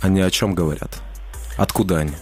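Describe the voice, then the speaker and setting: A man's voice: deep voice, fairly even intonation, quick pace, darkish tone, faint music behind. A man co-hosting a live radio discussion, speaking in a pointed, questioning manner. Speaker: radio host.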